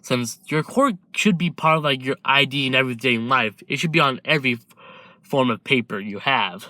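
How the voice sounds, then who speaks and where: A doctor lays things out in a matter-of-fact voice, played by a man. The tone is bright and the sound is dry. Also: mid-range voice, moderate pace, dry recording, lightly acted. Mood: explaining.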